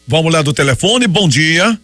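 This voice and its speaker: A man hosting a radio call-in show, greeting callers briskly and putting questions to the doctor. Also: on the high side, dry recording, faint music behind. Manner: lively, brisk, friendly to callers. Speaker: radio host. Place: radio studio.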